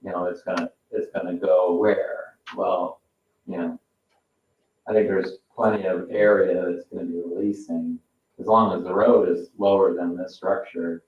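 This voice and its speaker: Male, low and flat, halting explainer at a public meeting; distant, roomy pickup. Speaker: board meeting participant reviewing technical matters. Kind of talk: informal technical discussion at a public meeting. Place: echoey meeting room, picked up from afar. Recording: roomy, distant, muffled meeting audio with noise.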